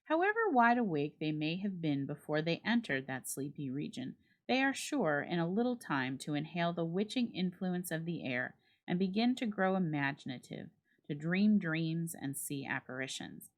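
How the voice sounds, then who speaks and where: Female narrator, low register, for dramatic reading of classic fiction; measured and expressive with formal phrasing. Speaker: narrator reading a classic short story aloud.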